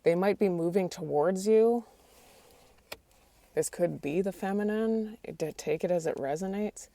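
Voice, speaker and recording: A woman's voice: mid-range voice, unhurried, mellow, no echo. A woman doing a tarot card reading, talking hesitantly and often restarting her sentences, and she is losing her voice. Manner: hesitant, restarts often, asks the cards aloud. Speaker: tarot reader.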